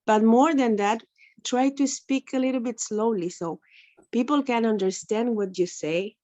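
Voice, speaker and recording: A woman's voice: fairly high pitch, measured pace. A woman with an Ecuadorian accent, a native Spanish speaker who teaches English, thoughtfully sharing a view in a webinar Q&A over a video call. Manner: thoughtful, self-correcting, gently explanatory. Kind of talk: spontaneous comment in a Q&A. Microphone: computer mic on a video call, camera off. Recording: muffled lo-fi call audio.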